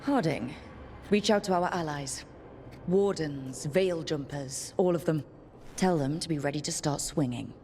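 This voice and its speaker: A woman's voice: sits low, a little slow, warm tone, slight background noise. A woman playing a resolute, plain-spoken young team leader in a video game. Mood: determined. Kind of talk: video game dialogue acting.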